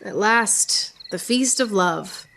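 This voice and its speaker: An actress playing a witty, proud, lovelorn woman poet in radio theatre, speaking in a theatrical, yearning way with drawn-out phrases. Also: mid-range voice, vocal fry, fairly bright tone, slight background noise. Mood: bitter, longing. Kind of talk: poetic radio theatre acting.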